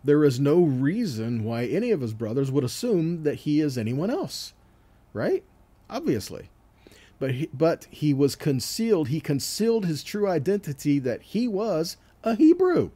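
A man's voice: on the high side, very animated, normal speed, warm tone, slightly rough. A man teaching scripture in a sermon, reading verses aloud and explaining them, often checking in with a short question. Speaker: Bible teacher.

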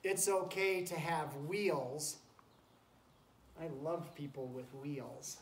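A man's voice: fairly high pitch, slow. A man reading a picture book aloud to children like a teacher, chatting encouragingly and breaking off for asides to the animals around him. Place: wooden barn pen with straw, among goats and chickens. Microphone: camera a few feet away. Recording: roomy, noisy barn, distant camera mic.

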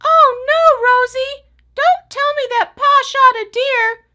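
Squeaky, excitable little cartoon dog. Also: female voice, slow, full character voice. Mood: worried, dismayed. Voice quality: squeaky, childlike. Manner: emotional, gushing, exclaiming. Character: sweet, tender-hearted, excitable little dog puppet character. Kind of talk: children's puppet show.

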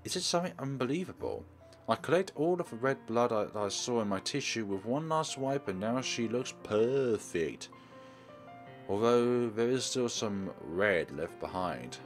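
A man voicing a guarded, self-effacing high school girl who narrates a visual novel in an earnest, measured way. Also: expressive, faint music behind, lightly acted. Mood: reflective.

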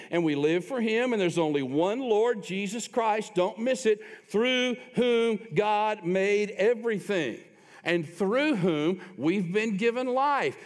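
A man preaching a sermon to a congregation as pastor, teaching scripture in an emphatic, conversational way and addressing listeners directly. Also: sits high. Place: large church room, preaching live. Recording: long reverb of a large room.

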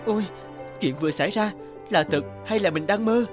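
A man's voice: high-pitched, brisk, close to an everyday voice. A man playing a polite, humble, kind-hearted young wanderer in a cartoon fairy tale, speaking earnestly. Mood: wondering, hopeful. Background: background music.

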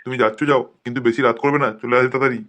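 A man's voice: medium pitch, level tone, rapid-fire, fairly bright tone, lightly acted. A man voicing a homely old grandfather in a comic cartoon, fussing and insistent. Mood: fond, fussing. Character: nagging, protective, uneducated old grandfather. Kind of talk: comic cartoon dubbing in colloquial Bengali.

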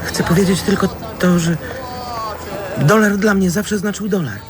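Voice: mid-range voice, measured pace, music in the background, lightly acted. A performer playing an earnest, stubborn ten-year-old boy who acts tough, in a radio drama. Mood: earnest, awkward. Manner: earnest, boyish.

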